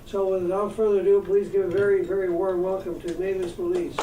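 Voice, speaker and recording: High-voiced man introducing a speaker. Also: level tone, a little fast, background noise. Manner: reads a prepared introduction, stumbles a bit. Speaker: meeting host. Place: meeting room. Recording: echoey room, far from the mic.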